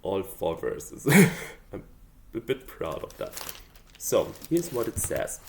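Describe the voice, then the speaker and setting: A man's voice: low-pitched, wildly swooping pitch, takes it slowly, vocal fry, darkish tone, a little hiss or hum. A man whose first language is German, talking through his draft song lyrics in a casual, hesitant and thoughtful way with many fillers. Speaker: hobby songwriter. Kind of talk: casual explanatory vlog.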